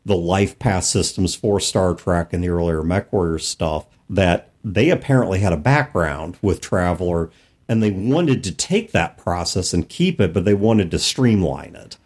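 A man's voice: deep voice, lively intonation, normal speed, a little mellow, slightly rough. A man co-hosting a podcast, explaining tabletop gaming in a casual, detailed way. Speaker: podcast co-host. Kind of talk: casual panel discussion of tabletop role-playing games.

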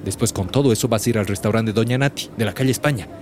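An actor playing a crooked, pushy market butcher in a radio drama, with a Bolivian accent and a slangy, singsong vendor's way of talking that wheedles and bullies. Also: male voice, lowish voice, rapid-fire, close to an everyday voice. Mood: instructing. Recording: clear outdoor street noise behind.

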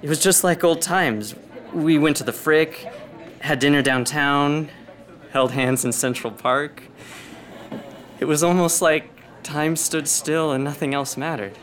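A man's voice: a little high, unhurried, fairly bright tone. A young man acting in a radio drama as a lovesick, defensive teenage boy, speaking earnestly. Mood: wistful.

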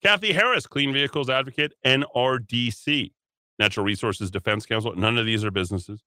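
Opinionated radio host guy, reads quotes and scoffs at them, very bright tense tone. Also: mid-range voice, fairly quick, dry recording, studio quality. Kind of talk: talk radio commentary. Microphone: studio broadcast mic.

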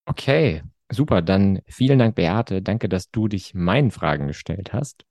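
A man hosting a podcast who thanks guests, then explains in a calm, orderly way before introducing the next interview. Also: deep voice, measured pace. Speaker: podcast host. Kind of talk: interviewing and scripted narration.

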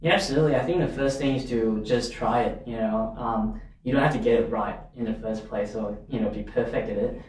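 A man's voice: medium pitch, a little fast, darkish tone, far from the mic. A man from Malaysia with a Malaysian accent, a non-native English speaker, giving advice and telling stories conversationally. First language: not English, learned it as a third language. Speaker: podcast guest, volunteer advocate. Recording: very echoey room, off mic, faint noise.

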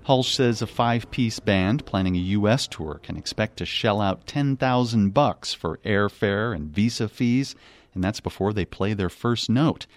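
A man narrating a radio news report in a measured, scripted delivery. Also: fairly low pitch, dry recording.

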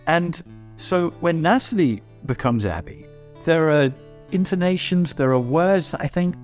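A man in his sixties talking reflectively in a casual interview as a writer, halting and repeating words as he thinks. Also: on the high side, expressive, a little mellow. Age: 60s. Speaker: writer and voice actor. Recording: music behind the voice. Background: plucked guitar music.

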